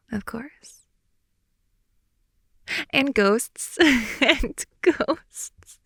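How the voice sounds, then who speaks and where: A woman's voice: mid-range voice, creaky, dry recording, clean studio sound. A young woman playing a goofy, clingy girlfriend who rambles and teases at bedtime in an intimate, improvised ASMR roleplay. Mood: hushed, spooky, tender. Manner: rambling, giggly, teasing, with hushed asides. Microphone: close mic, dry.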